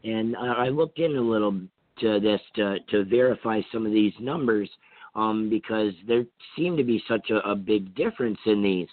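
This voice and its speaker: A hoarse man with a cold from Vermont, hosting a call-in radio talk show in a rambling, casual way with many fillers and restarts. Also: on the low side, moderate pace, no echo. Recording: tinny phone-line sound.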